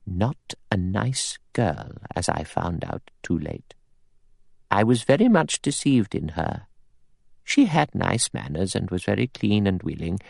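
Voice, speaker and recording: A severe old spinster from a storybook, acted out by a man. She is creaky and softly spoken, with brisk, precise diction. Her manner is coldly pious and disapproving, and the sound is close and dry. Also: low-pitched, very animated, no echo, full character voice. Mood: serene disapproval. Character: prim, pious, severe elderly spinster, coldly self-righteous.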